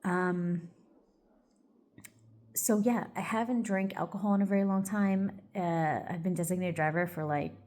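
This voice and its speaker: Woman, lowish voice, chatty get-ready-with-me vlogger, rambling with lots of fillers, a bit congested. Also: fairly even intonation, a little slow, a little mellow. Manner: rambling, loose, full of "like" and "um". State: a little congested, stuffy. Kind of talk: casual get-ready-with-me chat.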